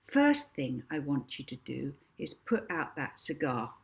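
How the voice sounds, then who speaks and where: A woman voicing a cunning young orphan girl hypnotist, calm and commanding, in an audiobook. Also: extremely low pitch, creaky, pitched below the performer's own voice, exaggerated voice. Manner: soft, slow, commanding. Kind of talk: audiobook character voice.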